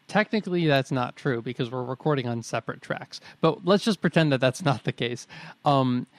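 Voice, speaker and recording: A young adult man with a mid-pitched voice co-hosts a casual podcast. He is wry, slips in jokey asides and rephrases ideas to check them. The recording is dry and close. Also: no room sound. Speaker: podcast co-host. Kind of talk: casual explanatory chat.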